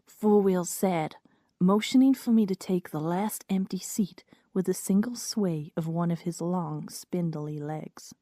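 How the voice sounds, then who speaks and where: Female first-person story narrator, warm dark tone, slightly low pitch, scripted read. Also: measured pace, no room sound, studio quality.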